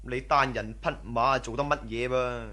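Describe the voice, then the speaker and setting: An actor playing a cautious, superstitious bandit in a radio drama, earnest and nagging. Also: male voice, medium pitch, fairly even intonation, normal speed, pushed and bright, close to an everyday voice. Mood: wary, reluctant. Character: cautious, superstitious male bandit, loyal underling. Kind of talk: Cantonese radio drama acting.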